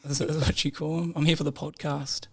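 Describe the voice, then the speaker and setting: A man's voice: fairly high pitch, brisk, husky. A young man with a New Zealand accent, a guest telling stories in a casual podcast chat, laughing often. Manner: laughs often, relaxed storytelling with slang. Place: podcast room.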